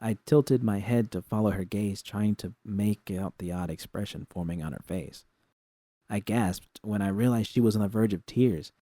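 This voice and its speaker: A man reads first-person fiction in a fairly low, very mellow voice. It is an audiobook-style narration, recorded close and dry. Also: normal speed, dry recording.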